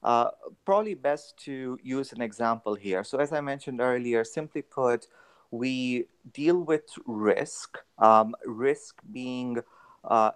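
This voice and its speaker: A young man from Tanzania, a guest on a radio interview, explaining his work in a measured way with many fillers. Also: mid-range voice, smooth. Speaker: guest, actuary.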